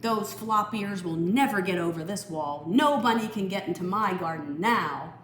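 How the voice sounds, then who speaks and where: A woman's voice: mid-range voice, moderate pace, echoey room, a little hiss or hum, close to an everyday voice. A woman voicing a determined, grumbling male gardener in a picture book, speaking in emphatic declarations. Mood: defiant. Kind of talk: picture-book read-aloud character voice.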